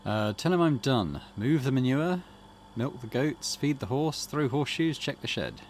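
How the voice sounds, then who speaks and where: A man's voice: mid-range voice, lively intonation, moderate pace, darkish tone, slight background noise. A man hosting a game playthrough, musing casually and rambling as he reads options aloud. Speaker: gaming channel host.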